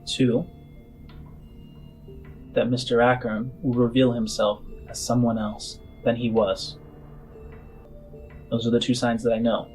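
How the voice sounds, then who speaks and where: A man voicing a shrewd, skeptical female boss in a tabletop game, explaining things in a measured, halting way. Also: mid-range voice, little pitch movement, a little mellow, faint music behind, natural voice, rough recording. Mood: measured, serious.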